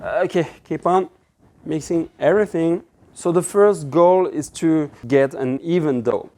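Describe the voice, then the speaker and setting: Male, 30s to 40s, native French speaker with French accent, lightly raised pitch, casual kitchen-demo delivery, relaxed and informal. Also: a little slow. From France. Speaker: pizza-making instructor. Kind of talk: casual cooking demonstration. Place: indoor kitchen with stone counter.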